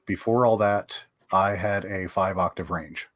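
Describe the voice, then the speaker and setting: Lowish guy, crude jokes, dry close mic, late-night stream vibe. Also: steady tone, measured pace, a bit bright, no room sound. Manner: blunt, crude, laughing. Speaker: stream co-host.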